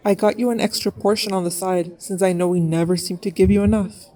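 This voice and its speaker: An actress playing a friendly, attentive diner server with a homely, accommodating manner in an audio drama. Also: female voice, mid-range voice, steady tone, brisk, dark tone, distant mic, close to an everyday voice, rough recording. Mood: friendly, helpful.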